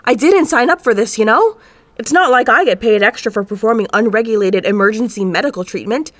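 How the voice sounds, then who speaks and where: A woman's voice: fairly high pitch, brisk, dry recording, close to an everyday voice. A woman playing an exasperated, bossy team medic in a comedy audio drama, indignant and scolding.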